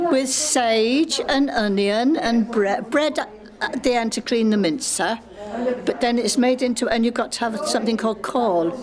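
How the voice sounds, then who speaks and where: An older English woman reminisces casually in a fairly high voice. Loud chatter from a group fills the large room behind her. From Gloucestershire, England. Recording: busy chatter in a large hall.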